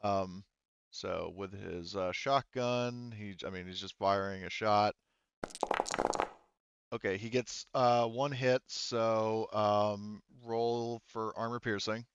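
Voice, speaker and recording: A man running an online tabletop roleplaying game as game master, talking casually and thinking aloud with hesitant pauses. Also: fairly low pitch, steady tone, highs cut off, noisy background.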